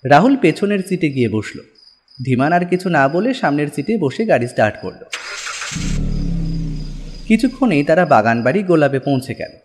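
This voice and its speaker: A man's voice: a little high, very animated, moderate pace, long pauses, slight background noise. A man narrating a horror audio story in a dramatic, scripted style. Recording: music behind the voice.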